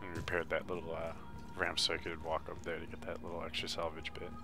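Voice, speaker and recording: Low-pitched man narrating over loud game music. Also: monotone, fast. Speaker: game walkthrough host. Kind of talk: casual video game walkthrough commentary. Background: video game music.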